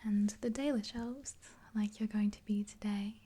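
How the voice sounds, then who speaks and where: Soft whispering young woman, breathy and even. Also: mid-range voice. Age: 20s. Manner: soothing, hesitant, unhurried. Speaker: ASMR video creator playing a makeup artist friend. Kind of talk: whispered ASMR roleplay, one-sided chat with the listener. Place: small indoor room, dark backdrop. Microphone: close to the mic, facing camera.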